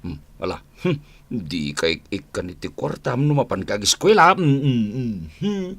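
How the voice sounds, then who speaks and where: A man's voice: medium pitch, very animated, moderate pace. A man with an Ilocano accent playing an easygoing, hard-drinking farmer husband in a comedy radio drama, grunting, sighing and chuckling as he wheedles. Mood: dismissive, chuckling. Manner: drawling, full of grunts, sighs and chuckles.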